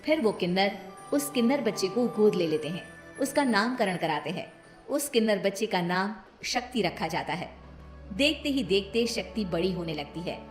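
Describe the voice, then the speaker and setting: A woman's voice: mid-range voice, expressive, moderate pace, reverberant, faint music behind. A woman reading a scripted cartoon moral story as its narrator.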